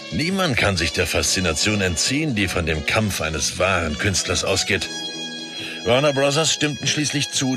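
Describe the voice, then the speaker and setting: An enthusiastic film producer giving testimony in a radio play. The voice is on the low side with swooping, lively intonation, and music plays clearly behind it. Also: male voice. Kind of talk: scripted documentary-style radio play testimony.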